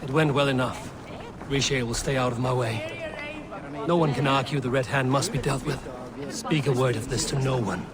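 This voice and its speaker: A man playing a steady, blunt Norse warrior in a video game, speaking in terse, deliberate phrases. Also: medium pitch, crowd noise, natural voice. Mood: firm, measured. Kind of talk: dramatic video game dialogue.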